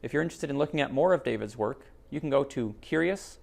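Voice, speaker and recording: A man hosts a picture book read-aloud in a casual, presentational style. His voice sits at a middling pitch with noticeable creak. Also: animated, fast talker, a little mellow.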